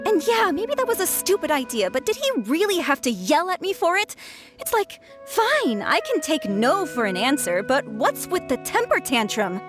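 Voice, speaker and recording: An actress playing a lovelorn young woman in a video game, dramatic, exasperated and huffy. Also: high-pitched. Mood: indignant. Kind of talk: video game character acting.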